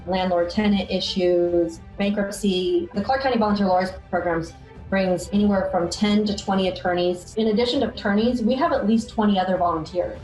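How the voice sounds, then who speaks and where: A woman explains a clinic program plainly, in an interview recorded in a roomy space. Her voice is lowish, with soft music behind it. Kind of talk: documentary interview. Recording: roomy echo.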